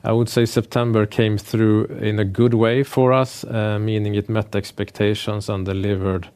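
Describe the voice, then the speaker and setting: A man with a fairly low voice presents company results as a finance executive. He speaks in a measured, businesslike manner, pausing and hesitating as he works through prepared remarks. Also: flat delivery, a little mellow, clear, resonant tone. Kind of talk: earnings call presentation, prepared remarks delivered semi-freely.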